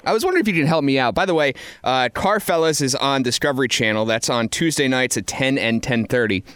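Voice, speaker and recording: A man's voice: mid-range voice, fast, fairly bright tone, smooth, no echo. A man hosting a radio interview in a casual, joking, self-deprecating and informal way. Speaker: radio show host.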